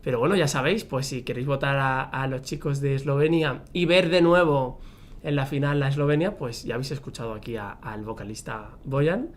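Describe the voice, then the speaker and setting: A man's voice: mid-range voice, a little fast. A man hosting an entertainment show, chatting in an upbeat, talkative way. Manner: chatty, upbeat, laughs often. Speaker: host. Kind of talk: casual entertainment chat.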